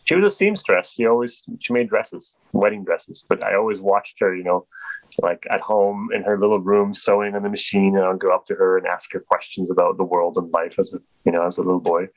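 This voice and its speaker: A man's voice: sits low, brisk, no echo, lo-fi sound. A Polish-born man answering interview questions in a rambling, casual way with many restarts. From Poland. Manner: rambling, full of restarts and fillers. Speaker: guest.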